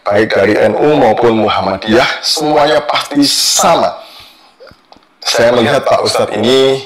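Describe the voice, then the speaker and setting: A man speaks slowly and deliberately in a religious talk. His voice sits at an average pitch. He lays out his points carefully. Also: over a noisy crowd, poor audio. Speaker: speaker in a clip being commented on.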